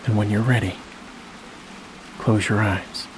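A man leading a guided sleep meditation in a slow, soothing manner, leaving long pauses between phrases. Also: on the low side, low-quality recording. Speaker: hypnosis guide. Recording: steady rain-like noise behind voice.

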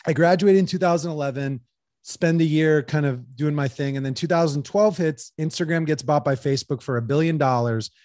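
A man in his thirties talking casually as an interview guest, rambling with lots of fillers, into a boom mic in a small room. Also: a little fast, no room sound, studio quality. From United States. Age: 30s. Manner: rambling, filler-heavy, animated hand gestures. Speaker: guest entrepreneur. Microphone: headset with boom studio mic.

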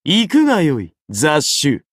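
Casting: an arrogant anime game king, imperious and condescending, slow, deliberate delivery, swinging widely in pitch. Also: wildly swooping pitch, no echo, studio quality, exaggerated voice. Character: arrogant golden-armored male king, haughty, easily bored. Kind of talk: dramatic anime game character voice acting.

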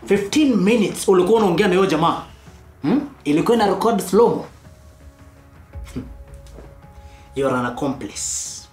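A cold, menacing young boss who issues threats calmly. His voice sounds roomy, with faint music underneath. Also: sits high, normal speed, long pauses. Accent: Kenyan.

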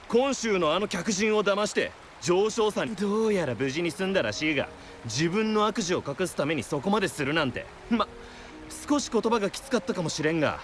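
A disdainful, suspicious game character with a high, very animated voice. He speaks bluntly and condescendingly, over prominent music. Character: scornful, suspicious man gossiping about a rival. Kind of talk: Japanese video game voice acting. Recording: loud music behind the voice.

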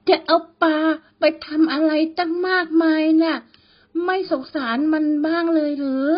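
A woman voicing an eerie, lonely young female tree spirit, with a shrill yet icy cold voice and a slow, coaxing, mocking way of speaking. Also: steady tone, muffled recording. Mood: teasing.

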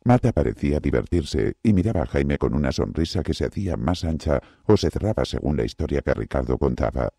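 A man narrating a novel as an audiobook, with an expressive delivery. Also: a little fast, hardly any pauses, dry recording, clean studio sound. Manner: very deep, gravelly and expressive. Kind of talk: scripted narration.

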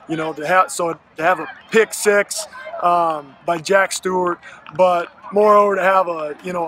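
Bright-voiced American football coach, a man. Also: high-pitched. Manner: halting, repeats words, folksy. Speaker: high school football head coach. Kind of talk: postgame interview. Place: outdoor football field at night. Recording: noisy outdoor field, vehicle-like ambience.

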